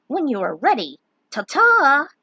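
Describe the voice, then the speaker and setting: A woman's voice: high-pitched, wildly swooping pitch, measured pace, pushed and bright, no room sound, muffled recording, voice pushed up, exaggerated voice. A woman voicing a joyful, theatrical court jester welcoming travelers in a fantasy story.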